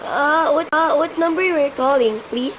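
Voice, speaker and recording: A woman's voice: high-pitched, moderate pace, natural voice. A woman playing a polite, unruffled telephone operator in an amateur suspense radio play, speaking in formulaic phrases. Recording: noisy amateur recording with faint hiss.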